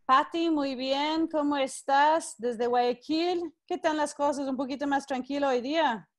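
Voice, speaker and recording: A woman runs a live online class, her voice set high as she greets people by name and gives friendly, firm instructions. The sound comes through a video call. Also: unhurried. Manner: friendly, directive, calls participants by name. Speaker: teacher leading an online class.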